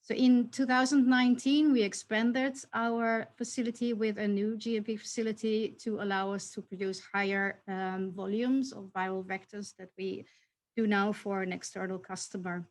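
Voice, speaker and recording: A woman executive giving a measured, explanatory presentation in a webinar. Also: mid-range voice, flat delivery, poor audio. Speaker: company executive.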